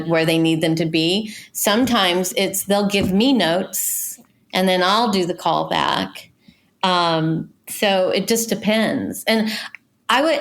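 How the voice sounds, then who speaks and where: A woman's voice: medium pitch, a bit bright. Thoughtful woman explaining in conversation. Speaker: casting director. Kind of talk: conversational interview answers.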